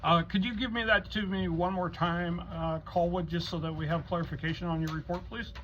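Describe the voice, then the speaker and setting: A halting, courteous man speaking as the controller of an amateur radio net, in procedural style. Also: high voice, steady tone. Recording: dull lo-fi audio, faint noise.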